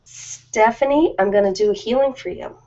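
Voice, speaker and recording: A woman's voice: on the high side, moderate pace, mellow, slight background noise. A woman chatting casually and informally on an ASMR live stream, rambling from one thought to the next. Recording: dull lo-fi live-stream audio.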